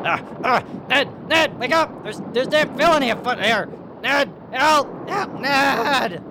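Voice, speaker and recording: An actor playing a callous old pirate captain in a radio drama, blustering and exclamatory. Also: male voice, extremely high pitch, lively intonation, slow, background noise, heavily acted. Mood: panicked. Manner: blustering, exclamatory, old-fashioned seafaring oaths.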